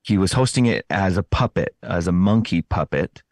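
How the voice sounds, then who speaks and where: A man giving casual, conversational interview answers, often pausing and correcting himself as he finds his words. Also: low voice, expressive, darkish tone, no echo, studio quality. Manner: hesitant phrasing, self-correcting, chatty. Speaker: podcast host appearing as interview guest.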